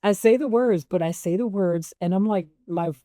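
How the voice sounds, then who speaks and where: A woman with a relatively deep voice, a guest actress telling stories in an animated, laughing way. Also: moderate pace, dry recording, clean studio sound. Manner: animated, laughs often, voice jumps up when excited. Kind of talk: casual interview storytelling.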